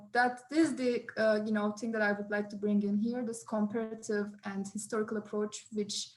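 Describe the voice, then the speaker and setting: A woman from Turkey, a sociology professor speaking on an online panel, thoughtful and halting with frequent restarts. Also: mid-range voice.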